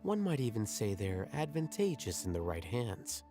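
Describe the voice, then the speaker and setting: An actor playing a composed, calculating young scholar in an anime game, speaking in a measured, articulate, detached way. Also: male voice, mid-range voice, sing-song, music in the background, close to an everyday voice. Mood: cool, detached.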